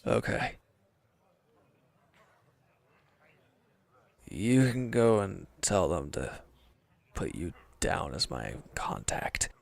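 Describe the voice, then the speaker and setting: A man playing a wounded, proud villain in an intimate audio drama, sullen and guarded, curt and halting in a breathy murmur. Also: low-pitched, no room sound, full character voice. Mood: awkward, guarded.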